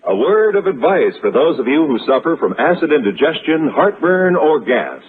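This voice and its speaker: A man reads a vintage radio commercial as its announcer. His pitch is lively and a little high. The sound is thin and tinny, with music behind. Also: normal speed.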